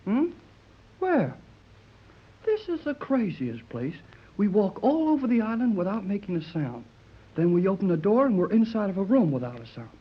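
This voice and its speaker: Eager American teenage boy, very lively intonation, slangy, old radio drama, muffled worn recording. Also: sits high, moderate pace, breathy edge, heavily acted. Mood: puzzled, excited. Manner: slangy, earnest, excitable. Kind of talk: 1930s radio serial acting.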